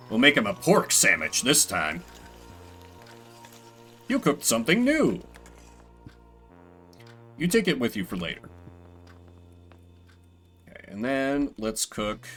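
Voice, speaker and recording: A man's voice: mid-range voice, a bit bright. A man playing a video game as a streamer, mixing casual commentary with theatrical readings of the on-screen text.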